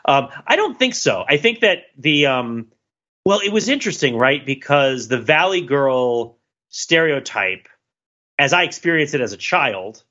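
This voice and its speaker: A man from the United States chats on a podcast with a bright, forward tone. He is lively and laughs easily, stacking fillers and restarts as he thinks aloud. The audio is a little dull and lo-fi. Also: medium pitch, a little slow. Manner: animated, laughs often, many fillers and restarts. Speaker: podcast co-host. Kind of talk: casual chat.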